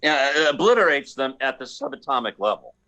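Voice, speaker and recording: A man acts out a hapless slacker pilot in a comic radio play. His voice is medium-pitched and sharply bright. He stumbles over his words, sounding boozy and insubordinate. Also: big pitch swings, muffled recording. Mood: brazen, deadpan. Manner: halting, stammering, bumbling. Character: hapless, insubordinate, hard-drinking male slacker space pilot.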